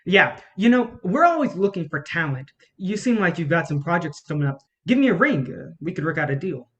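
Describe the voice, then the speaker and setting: A woman's voice: lowish voice, big pitch swings, a little fast, lo-fi sound. A woman playing a cunning, bitter male film distributor in a staged play reading, with a blunt, cocky manner. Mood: slick, flattering.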